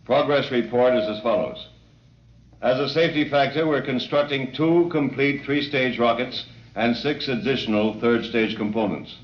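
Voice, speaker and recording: A man's voice: medium pitch, normal speed, a little hiss or hum, natural voice. Male actor as stern press briefing official, formal, businesslike, echoey room, away from mic, old radio drama. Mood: brisk, stern. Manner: formal, curt, businesslike announcing. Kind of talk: 1950s radio drama acting. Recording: echoey, distant, dull hall address.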